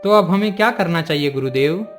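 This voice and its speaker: A man's voice: on the high side, expressive, a little fast, faint music behind, lightly acted. A man playing a patient, forgiving exiled king and father in a cartoon moral story, with formal, respectful speech.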